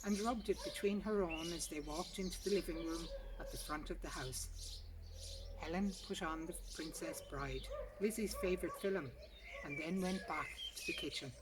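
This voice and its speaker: A woman's voice: lowish voice, normal speed, background noise. A woman reading a literary short story aloud outdoors in a garden. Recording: distant mic, loud outdoor birdsong. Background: birdsong.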